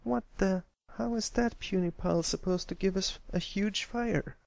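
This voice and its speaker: A man's voice: sits high, moderate pace, gravelly, darkish tone, dry recording, pitched above the performer's own voice. A man voicing a brash, bossy young pony filly with an impatient, complaining manner in a cartoon fan story reading. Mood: annoyed, complaining.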